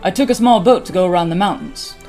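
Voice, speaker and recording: A woman's voice: fairly low pitch, quick pace, darkish tone, soft background music, lightly acted. An actress playing a steadfast orc warrior woman in a fantasy audio drama, formal and plain-spoken. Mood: formal, earnest. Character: steadfast female orc warrior with mace and shield.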